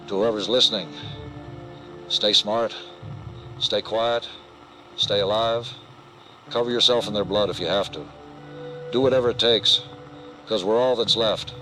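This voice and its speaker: An actor playing a hard-bitten, defiant survivor broadcasting a warning in an audio drama, urgent and resolute, in clipped phrases over a faint noisy hum. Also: male voice, mid-range voice, sharp tone, natural voice.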